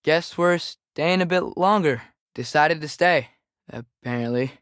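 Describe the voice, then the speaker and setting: A man's voice: on the high side, a little slow, fairly bright tone, smooth, no echo, clean studio sound. A young man with an American accent voicing a restless, casual teenage boy adventurer in a video game, with a hesitant, understated delivery. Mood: resigned. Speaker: game character voice actor. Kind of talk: video game companion voice lines.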